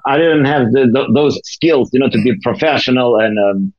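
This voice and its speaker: A man with a German accent, a guest producer chatting haltingly in an interview, laughing often. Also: medium pitch, a little mellow, dry recording, muffled recording. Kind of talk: casual interview.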